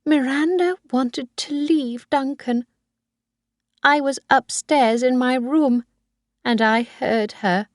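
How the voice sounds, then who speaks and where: A woman voicing a frail, possessive old mother who talks in a high, pleading, clinging way. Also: takes it slowly, dry recording, studio quality. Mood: confessing, fervent.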